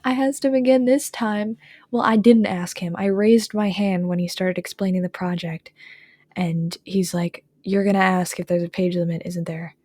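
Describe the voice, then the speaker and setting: A woman in an improvised intimate roleplay, talking softly and affectionately to a partner in a rambling, often breathy, near-whispered way. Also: mid-range voice, steady tone, normal speed, dry recording. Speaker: audio roleplay storyteller.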